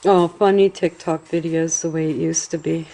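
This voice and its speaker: A woman's voice: sits low, steady tone, normal speed, dark tone, faint music behind, rough recording. A woman hosting a livestream, reacting to a clip with sassy, swearing remarks and frequent laughs. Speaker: livestream host.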